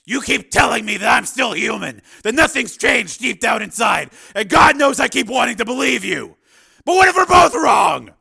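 A man voicing a tough, tormented cyborg man full of bitterness and self-doubt, in a forceful audiobook performance. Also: very high voice, expressive, normal speed, gravelly, husky, voice pushed up, heavily acted. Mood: anguished doubt. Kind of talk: dramatized audiobook dialogue.

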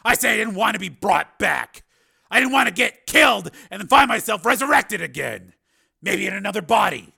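Intense action hero, played by a male narrator: raised pitch, harsh and forceful, strained emphatic audiobook delivery. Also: lively intonation, moderate pace, creaky, slightly rough, exaggerated voice. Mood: grim, urgent.

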